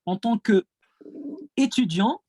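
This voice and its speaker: A man's voice: high voice, animated, slow, mellow, no room sound, clean studio sound. A man from Gaza whose first language is Arabic speaks French with an Arabic accent, answering questions at a conference as a French teacher, hesitant and full of repeated words. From Palestine, Gaza.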